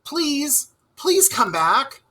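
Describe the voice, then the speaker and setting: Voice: high-pitched, big pitch swings, extremely slow, bright, forceful tone, voice pushed up, full character voice. A storybook reader voicing a small, timid mole who pleads urgently and emotionally. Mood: anguished pleading.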